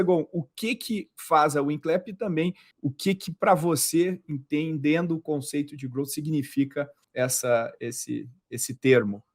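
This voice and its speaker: A Brazilian man hosting a podcast interview, talking casually and often restarting and repeating words. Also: a little high. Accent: Brazilian Portuguese. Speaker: podcast host.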